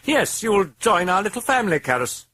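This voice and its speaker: A man's voice: sits high, very animated, fairly quick, pushed and bright, slightly rough, pitched above the performer's own voice, heavily acted. A man voicing a malevolent, mocking demon possessing a girl, sardonic and taunting. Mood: mocking menace.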